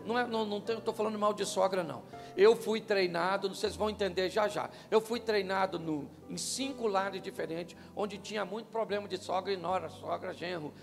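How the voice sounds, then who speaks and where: An older Brazilian pastor jokes with his congregation and keeps asking them questions. His voice sits high for a man and has a gravelly edge. He speaks into a handheld mic in a large hall with a long reverb. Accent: Brazilian Portuguese. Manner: joking, animated, asks the crowd questions. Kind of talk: humorous marriage talk to couples. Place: church stage, large hall. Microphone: handheld microphone. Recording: live church hall with long reverb and faint music.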